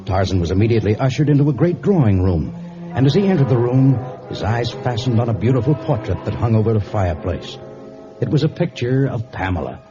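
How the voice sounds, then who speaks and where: A man narrating a vintage radio adventure serial in a theatrical, deliberate, suspenseful manner. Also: mid-range voice, animated, natural voice. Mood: suspenseful, dramatic. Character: dramatic male storyteller of an adventure serial. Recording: muffled old radio recording. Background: loud dramatic music.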